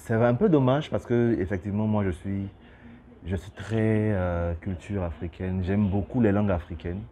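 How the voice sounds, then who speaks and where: A man's voice: lowish voice, moderate pace, warm tone. A man from Côte d'Ivoire, a businessman speaking in an interview, hesitant with restarts and bursts of emphasis. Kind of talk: interview testimony.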